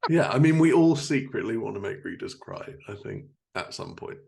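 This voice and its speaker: A man's voice: fairly low pitch, expressive, normal speed, vocal fry, darkish tone, slightly rough. A man hosting a podcast in casual chat, interjecting briefly in a wry, teasing way. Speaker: podcast host.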